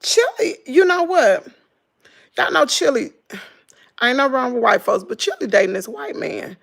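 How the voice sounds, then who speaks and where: Hoarse, gossipy Southern woman with gravelly voice. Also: high-pitched, sing-song, unhurried, a bit bright. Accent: Southern American. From Southern United States. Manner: chatty, gossipy, dramatic exclamations. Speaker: vlogger. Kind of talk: casual chat with viewers.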